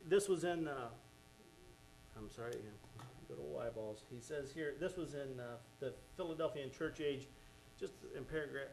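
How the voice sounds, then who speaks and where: A man's voice: mid-range voice, normal speed, creaky, a little mellow, a little hiss or hum, low-quality recording. A man preaching a sermon, fervent and exclaiming "oh my" and "amen" as he goes.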